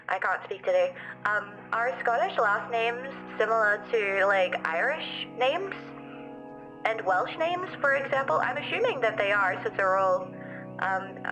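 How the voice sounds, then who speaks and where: A woman's voice: medium pitch, poor audio. A young woman with a mild city Australian accent, chatting casually and rambling with lots of fillers as she answers her livestream viewers. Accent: softened city Australian. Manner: rambling, giggly, many fillers. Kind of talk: casual chat reading viewer comments.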